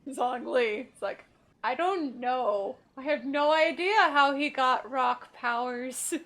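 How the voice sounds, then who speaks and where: A woman's voice: sits high, takes it slowly, a bit bright. A woman hosting a casual gaming playthrough, jokily paraphrasing what the characters say. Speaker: gaming video host and player.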